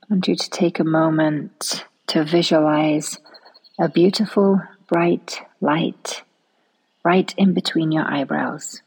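A woman leading a guided relaxation meditation in a soft, soothing manner, often trailing off into a near-whisper. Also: sits low, steady tone, slow pace, husky, low-quality recording.

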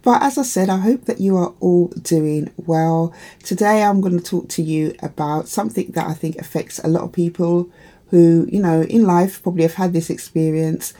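A woman hosting her own podcast, slightly croaky from hay fever, talking in an encouraging, chatty way to her listeners. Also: fairly low pitch, normal speed, mellow. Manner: encouraging, chatty, many "you know" fillers. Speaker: podcast host and empowerment coach. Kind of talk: solo podcast talk to listeners.

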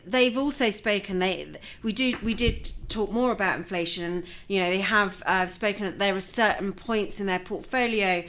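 A woman's voice: mid-range voice, moderate pace, hardly any pauses, fairly bright tone. A woman in a casual business conversation about fund investments, chatty and affirming, often prompting with questions.

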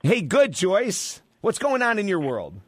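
A man's voice: high-pitched, huge pitch swings, a little slow, husky, no echo. A man hosting a live radio talk show from a studio, talking in an upbeat, enthusiastic way and repeating points for emphasis. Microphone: studio microphone.

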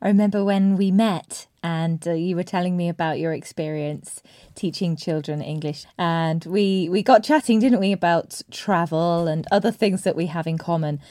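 A woman's voice: on the low side, moderate pace, mellow, dry recording. A woman hosting an interview podcast, speaking as an English teacher to her guest. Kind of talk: podcast interview with scripted intro.

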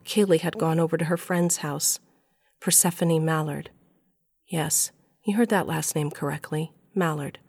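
A middle-aged mother tells her own story in an audio drama. Her voice is notably low for a woman. She is wry, bruised and confessional. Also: darkish tone. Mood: matter-of-fact. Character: wry, shaken middle-aged mother and scientist, just left by husband. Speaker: narrator and lead character. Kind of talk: first-person audio drama narration and dialogue.

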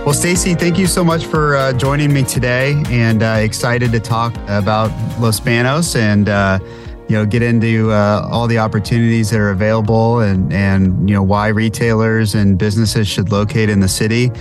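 A man's voice: on the low side, steady tone, moderate pace, faint music behind. A man hosting an interview podcast, chatting casually and rambling with lots of filler words. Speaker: podcast host.

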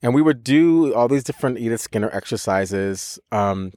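A man in a casual podcast chat, telling stories with animated energy and breaking into laughter now and then. His voice sits at a middle pitch, and the recording is close and dry with no room sound. He restarts his sentences often and swears freely. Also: normal speed, no room sound. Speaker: podcast co-host, voice teacher.

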